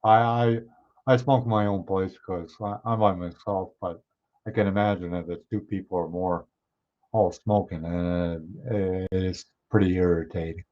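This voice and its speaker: A man hosting a livestream, chatting casually with viewers and answering their comments, his voice a bit hoarse. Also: deep voice, steady tone, normal speed. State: hoarse, clearing his throat. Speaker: livestream host. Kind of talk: casual chat with live viewers. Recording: somewhat dull, lo-fi stream audio.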